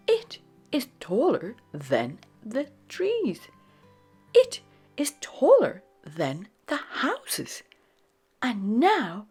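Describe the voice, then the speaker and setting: A woman narrating a children's storybook in a dramatic, expressive read-aloud style. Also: medium pitch, breathy edge. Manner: dramatic, with hushed phrases and sudden exclamations.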